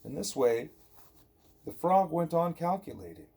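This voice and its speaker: A man reading a scripted spiritual dialogue aloud as an audiobook narrator, deliberate and emphatic on rhetorical questions. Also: on the high side, very animated, creaky, dark tone. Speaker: audiobook reader.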